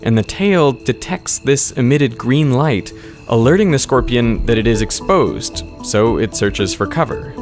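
A man's voice: medium pitch, animated, measured pace, faint music behind. A man giving an explanatory narration in complete, factual sentences.

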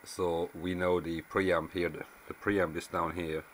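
Very deep-voiced man, noisy workshop recording. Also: flat delivery, a little slow. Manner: matter-of-fact, thinking aloud. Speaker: amateur radio repairer presenting. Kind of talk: unscripted hands-on technical walkthrough. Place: small indoor workshop at an electronics bench. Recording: noisy camera mic in workshop.

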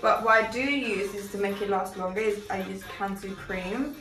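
A woman's voice: medium pitch, steady tone, normal speed, fairly bright tone. A young woman explains a toddler hairstyle in a chatty, instructive way, often correcting herself mid-sentence. She is recorded from a distant camera in her living room. The audio sounds roomy, with music playing underneath. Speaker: mother doing her toddler's hair. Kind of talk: casual hair tutorial. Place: living room with Christmas decor. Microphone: far camera.

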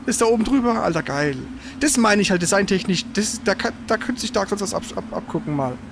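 A man's voice: high voice, expressive, fast, noisy background. A man giving casual, colloquial gaming commentary as he plays, exclaiming at the game. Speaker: gamer, let's play host.

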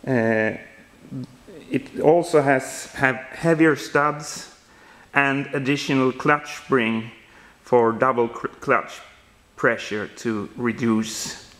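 A man in his thirties with a Swedish accent, giving an informal walkaround explanation in halting, non-native English as he searches for words. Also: mid-range voice, fairly even intonation, a bit bright. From Sweden. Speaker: home builder presenting his project. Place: indoor workshop garage.

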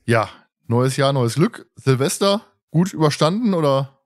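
A man's voice: mid-range voice, lively intonation, measured pace. Male podcast host, mid-range voice, loose rambling delivery with friendly banter and teasing. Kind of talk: casual podcast chat.

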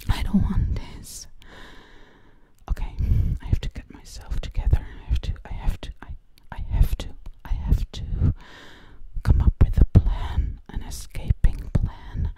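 Slow frightened ASMR whisperer. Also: mellow. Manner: repeats single words over and over in a whisper; frightened, breathless questions to themselves.

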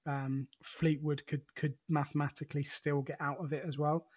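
A man with a fairly high voice talks through the football fixtures in easygoing podcast chat. He often stops to restart with a "you know". His comes through. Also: flat delivery, normal speed. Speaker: podcast co-host.

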